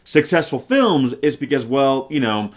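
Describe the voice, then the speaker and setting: A man's voice: mid-range voice, sing-song, measured pace. A man co-hosting a trailer reaction video, talking at length in an earnest, opinionated way and asking rhetorical questions. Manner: earnest, long-winded, asks rhetorical questions. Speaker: co-host of a movie trailer reaction channel. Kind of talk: casual opinionated movie talk.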